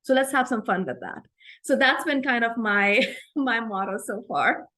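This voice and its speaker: A woman's voice: fairly high pitch, normal speed, sharp tone. Woman in her 40s from India, Indian accent. Earnest, reflective interview guest. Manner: earnest, reflective, often ends points with "right?". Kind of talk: conversational interview.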